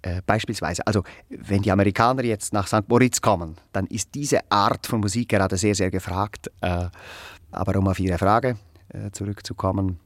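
An actor playing a pedantic, self-important musician in a radio play, wordy and hesitant, setting things out with clinical precision. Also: male voice, lowish voice, steady tone, normal speed, no echo, studio quality, close to an everyday voice. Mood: evasive, self-important. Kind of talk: naturalistic radio play dialogue.

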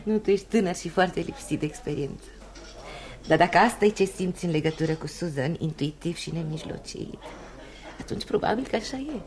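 A woman's voice: fairly low pitch, animated, a little mellow, close to an everyday voice. An actress playing a frank, independent, worldly woman in a radio play, knowing and assertive in manner. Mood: prodding, advising. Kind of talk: radio theatre acting.

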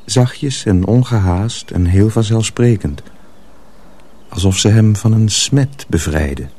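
An actor as a calm, solemn storyteller, reading scripted narration in a radio drama. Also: male voice, on the low side, takes it slowly, a little hiss or hum.

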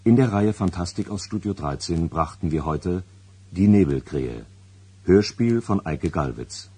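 A male radio announcer reading scripted credits in a deep, husky voice. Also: expressive, a little slow, warm tone, no room sound.

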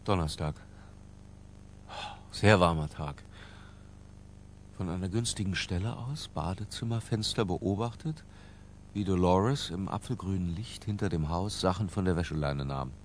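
An actor playing an obsessive, lyrical middle-aged intellectual who confesses in a hushed, deliberate literary radio drama narration. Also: male voice, sits low, a little hiss or hum. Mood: measured confiding. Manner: hushed, deliberate, breaking into near-whispers.

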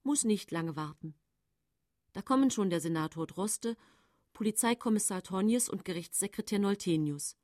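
A woman's voice: mid-range voice, expressive, moderate pace, darkish tone, no room sound, clean studio sound, natural voice. An actress playing a composed, matter-of-fact woman poisoner who recounts her case in sober radio play narration. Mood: numb, factual. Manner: sober, controlled storytelling.

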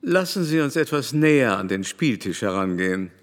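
An actor playing a refined, haughty, devout French baron in a German radio play, speaking in a mannered, pompous way. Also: male voice, medium pitch, sing-song, normal speed, in a large hall, close to an everyday voice. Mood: amused, admiring. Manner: mannered, pompous, ornate phrasing.

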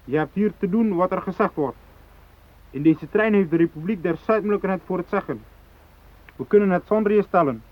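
An actor in a radio drama playing a fanatical, unyielding hijacker who speaks in cold, threatening declarations. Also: male voice, sits high, a little fast, lightly acted. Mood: stern, commanding. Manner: declarative, threatening, ideological speechmaking. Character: fanatical South Moluccan hijacker, unyielding, cold, righteous militant. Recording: thin phone-like radio drama recording.

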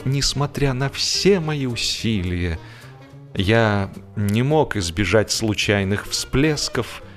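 Male narrator reading a wry humorous story, music behind. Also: on the low side. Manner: wry, theatrical storytelling with deliberate pauses. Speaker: audiobook narrator.

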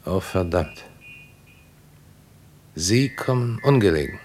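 An actor playing an irritable, duty-obsessed general in a radio drama, with a curt and authoritative manner. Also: male voice, low-pitched, animated, takes it slowly, darkish tone, a little hiss or hum. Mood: tense, dogged. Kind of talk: German radio drama acting.

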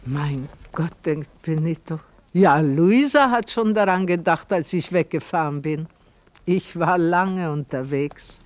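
Higher-pitched male radio play narrator. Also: slow. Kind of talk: scripted literary radio drama narration.

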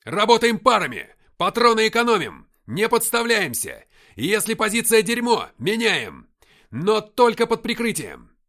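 A man voicing a gruff, commanding army captain who barks blunt orders in the heat of battle in an audiobook. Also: a little high, sing-song, normal speed, voice pushed up. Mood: shouting orders. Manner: barking, forceful, blunt.

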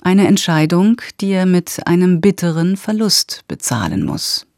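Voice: mid-range voice, big pitch swings, unhurried, darkish tone, no echo. An announcer giving a formal, factual scripted recap of a radio drama series.

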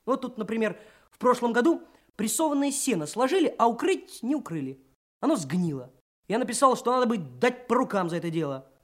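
An actor playing a brash, self-assured young Komsomol trainee detective in a Soviet radio drama. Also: male voice, very high voice, animated, fairly quick. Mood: breezy, persuasive. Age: young adult. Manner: brash, confident, lecturing. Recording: 1980s radio drama, music behind.

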